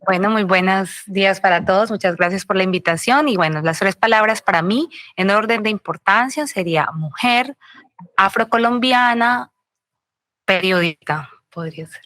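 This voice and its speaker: A woman's voice: medium pitch, moderate pace, fairly bright tone, no echo. A journalist from Colombia's coast, answering interview questions reflectively and searching for her words. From Colombia, Pacific coast. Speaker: journalist guest.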